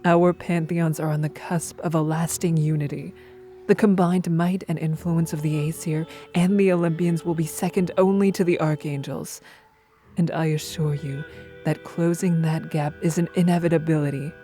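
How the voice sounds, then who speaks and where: Woman acting as a regal scheming goddess, low mellow voice, measured and persuasive, music behind, audio drama. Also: fairly even intonation, lightly acted. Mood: ambitious, resolute.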